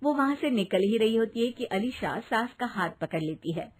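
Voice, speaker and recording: A woman narrates a scripted family tale. Her voice is high and moves about in pitch with lively, animated storytelling delivery. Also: normal speed.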